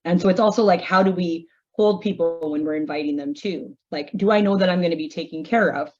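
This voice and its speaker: A low-pitched woman artist who speaks reflectively and haltingly, restarting her thoughts often. The recording is dull and muffled. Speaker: panelist, artist. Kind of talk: casual panel discussion.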